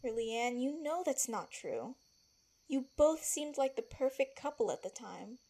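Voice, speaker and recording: A woman's voice: high-pitched, lively intonation, a little slow, mellow, exaggerated voice. A woman acting a feisty, protective palace servant in an audio drama, blunt and emphatic. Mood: reassuring, frank.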